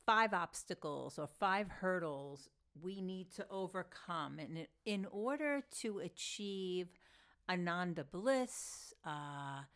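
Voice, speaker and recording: A woman's voice: fairly low pitch, lively intonation, takes it slowly, a bit bright. A woman with a Long Island New York accent hosting an informal solo talk as a teacher, emphatic and repeating phrases for stress. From Long Island, New York, United States. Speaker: podcast host and yoga philosophy teacher. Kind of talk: informal solo spoken lecture.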